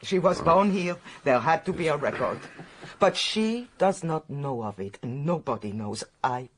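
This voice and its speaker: Radio drama housekeeper: French, middle-aged, imperious and secretive, impatient, highly animated pitch. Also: female voice, measured pace, a little hiss or hum. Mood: grave confession. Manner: haughty, impatient, emotional. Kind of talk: radio detective drama acting.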